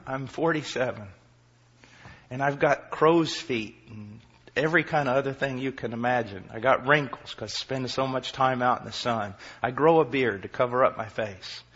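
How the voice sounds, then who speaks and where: A man in his forties preaching a sermon with passion. He moves from quiet, low passages to shouted peaks and mixes in self-deprecating humor. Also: lively intonation, measured pace, husky. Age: 40s. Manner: impassioned, swinging from quiet to shouting. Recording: dull lo-fi recording.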